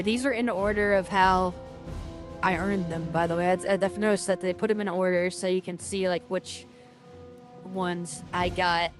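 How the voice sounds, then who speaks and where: A woman talking through her game as a streamer, rambling and filling her talk with "like" and restarts, with a loud voice. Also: lowish voice. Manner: rambling, lots of fillers and restarts. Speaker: gaming streamer. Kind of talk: casual game commentary.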